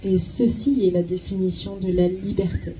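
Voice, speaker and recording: A woman's voice: medium pitch, normal speed, rough recording. A woman working as a live interpreter, hesitant, often repeating words as she searches for the phrasing. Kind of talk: consecutive interpreting. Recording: clear steady background noise.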